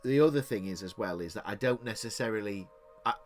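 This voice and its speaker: A man's voice: fairly low pitch, fast, soft background music. A middle-aged man from England co-hosting a podcast, rambling in casual chat with frequent restarts and easy laughter. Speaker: podcast co-host.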